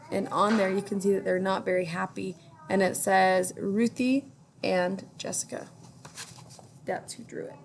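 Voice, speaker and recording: A woman teacher reading a picture book aloud to children, gently stopping to ask them questions. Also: medium pitch, slight background noise. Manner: gentle, pauses to ask young listeners questions.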